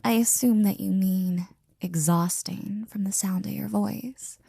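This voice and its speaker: A woman playing a flirty, devoted maid in an intimate ASMR roleplay, breathy and often near a whisper, with a teasing, affectionate manner. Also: mid-range voice, steady tone, dark tone, no echo, studio quality. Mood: curious, gossipy. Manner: teasing, affectionate, slow and drawn out.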